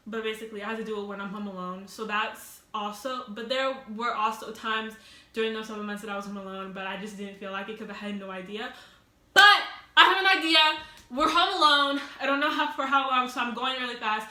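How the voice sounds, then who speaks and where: A teenage girl vlogging at home, telling a rambling storytime with loud laughs and many restarts. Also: on the high side, fairly quick, roomy sound. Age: teens. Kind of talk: casual storytime vlog. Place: at home, sitting on the floor.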